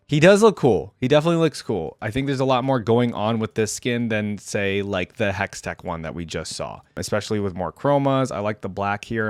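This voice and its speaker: A man's voice: lowish voice, no echo, studio quality. A man hosting a reaction video, commenting casually and off the cuff in a conversational way, thinking aloud as he goes.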